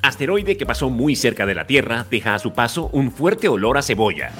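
A man co-hosts a loose comedy podcast. His pitch moves around a lot, and he keeps throwing in quick, playful jokes. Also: sing-song, fairly bright tone, soft background music. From Venezuela. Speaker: comedian and co-host. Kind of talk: casual podcast chat.

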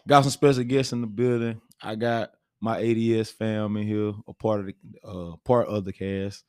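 A man's voice: lowish voice, fairly even intonation, normal speed, darkish tone, smooth, no room sound, studio quality. An American man hosting a podcast, chatting casually and informally with slang. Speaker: podcast host.

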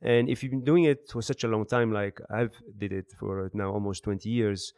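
A man in his thirties from Iraq and the Netherlands, answering interview questions in a halting, word-searching way. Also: fairly low pitch, steady tone, a little mellow, dry recording, clean studio sound. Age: 30s. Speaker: interview guest, author and business speaker.

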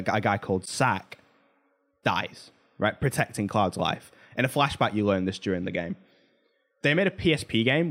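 A man hosting a podcast, talking casually and informally, telling stories with emphatic asides. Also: lowish voice. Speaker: podcast host. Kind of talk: casual pop culture discussion.

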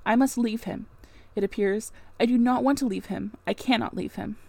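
A woman reading a classic novel aloud as a weary, quiet young governess, restrained, polite and firm. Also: a little high, normal speed, gravelly, dry recording. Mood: weary, sorrowful.

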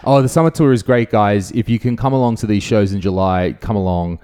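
Animated, swearing man co-host. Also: fairly low pitch, fairly quick, clear, resonant tone. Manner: swears freely, animated storytelling. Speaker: comedy podcast co-host. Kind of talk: casual chat.

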